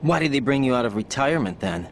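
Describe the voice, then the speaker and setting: A man's voice: mid-range voice, animated, fast, slight background noise, natural voice. An actor playing a young male soldier, anxious and questioning.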